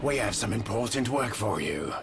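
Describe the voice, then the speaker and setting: A man voicing a grave, paternal wasteland agent in a video game, solemn and measured. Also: lowish voice, animated, creaky, music in the background. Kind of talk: video game character dialogue.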